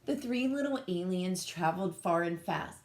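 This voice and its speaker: A woman reading a picture book aloud as a teacher, pausing to explain and put questions to young children. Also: fairly low pitch, animated, background noise. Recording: echoey room sound.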